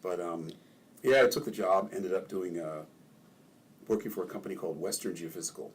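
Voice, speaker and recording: An older man from Maryland, a ward councilman, telling relaxed stories in a casual interview and chuckling often. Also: deep voice, brisk, slightly rough.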